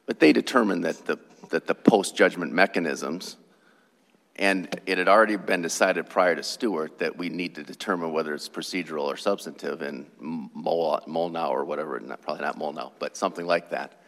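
A man questioning counsel from the bench as a judge, probing and thinking aloud in halting phrases. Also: rumbling low, vocal fry, fairly bright tone. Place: courtroom. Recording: long courtroom reverb.

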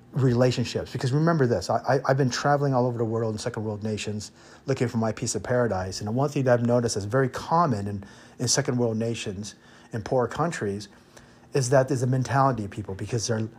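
A man's voice: mid-range voice, a little mellow, breathy edge. An American man hosting an informal podcast monologue, giving opinionated advice in a blunt way and repeating points for emphasis. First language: English. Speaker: expat podcast host.

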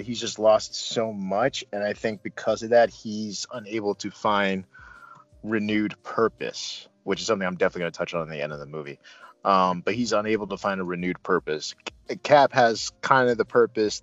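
A man talks through a casual film review as host, halting and rambling, with bursts of emphasis. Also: low voice, no room sound, lo-fi sound. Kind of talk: casual movie review discussion.

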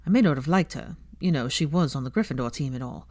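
A woman's voice: very deep voice, animated, fast talker, a little mellow, dry recording. A woman voicing a haughty young wizard man in a story reading, scoffing and dryly sardonic. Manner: scoffing, dry.

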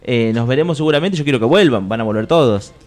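A man's voice: medium pitch, animated, fast talker, darkish tone. A man with a Rioplatense Argentine accent, hosting a playful improvised comedy awards show on radio. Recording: music and crowd behind the voice. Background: music and crowd sounds.

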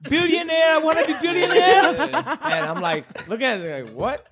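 Animated young man telling a story. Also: extremely high pitch, moderate pace, over a noisy crowd. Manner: loud, animated storyteller, swears a lot. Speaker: podcast co-host. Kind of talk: casual banter among friends. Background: friends talking over and laughing.

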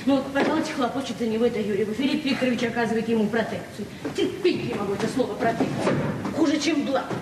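A woman's voice: a little high, fast talker, natural voice. An actress in a radio play as a naive, talkative librarian in her forties, speaking hesitantly and repeating herself. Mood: indignant, flustered. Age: 40s. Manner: flustered, hesitant, chatty, repeating words. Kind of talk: naturalistic Soviet radio drama acting. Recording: echoey, distant, noisy 1970s radio recording.

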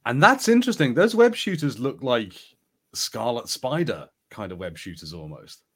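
A man's voice: mid-range voice, big pitch swings, normal speed, a bit bright, breathy edge. A man co-hosting a podcast, talking casually and conversationally. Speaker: podcast co-host. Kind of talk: casual pop culture discussion.

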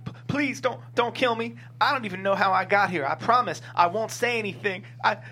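An actor playing panicky captives and urgent guards in a screenplay table read. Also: male voice, high-pitched, a little fast, sharp tone, slightly rough, full character voice. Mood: terrified pleading.

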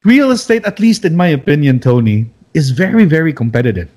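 A man's voice: fairly high pitch, sing-song, a little fast, a little mellow. A man with a Filipino accent hosting an interview, encouraging and chatty with frequent tag questions. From Philippines. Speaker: host. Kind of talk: casual interview chat.

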